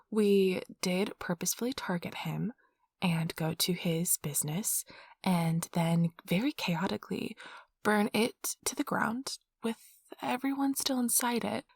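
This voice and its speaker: A woman playing a nerdy, affectionate girlfriend in an ASMR roleplay, rambling softly and casually in a gushing, breathy voice. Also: mid-range voice, dry recording. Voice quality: soft, breathy, slightly husky. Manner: gushing, casual, giggly, chatty. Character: nerdy affectionate girlfriend, excitedly rambling about tabletop gaming. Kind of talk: soft-spoken ASMR roleplay, intimate rambling. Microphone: close microphone, dry.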